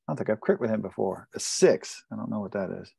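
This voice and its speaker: A man's voice: lowish voice, animated, fast, creaky, warm tone, slightly rough, no echo. A man chatting casually while playing a tabletop role-playing game.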